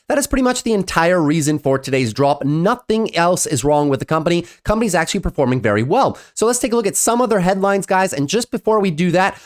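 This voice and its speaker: A young man with a stuffy cold, giving an upbeat daily stock market recap and calling the listeners "guys". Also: on the high side. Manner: upbeat, keeps saying "guys". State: congested from a cold.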